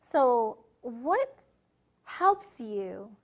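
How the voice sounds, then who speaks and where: A woman's voice: fairly high pitch, very animated, drawn-out words. A woman from the United States hosting a casual interview, bubbly and effusive, eagerly asking questions.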